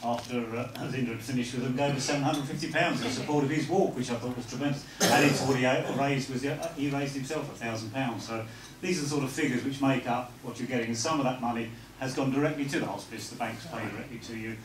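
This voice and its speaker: A man speaks from some distance in an echoey room with clear background noise. He is presenting fundraising cheques in an informal thank-you speech, with a halting, hesitant delivery and a middle-pitched voice. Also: fairly even intonation. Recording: echoey, noisy room, far from mic.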